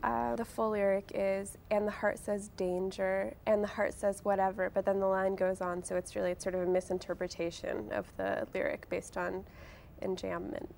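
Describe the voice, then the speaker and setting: A woman's voice: mid-range voice, fairly even intonation, moderate pace, gravelly, a little mellow. A woman in her late twenties talking casually as an interview guest, wry, self-deprecating and chatty. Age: late 20s. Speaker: author and blogger guest.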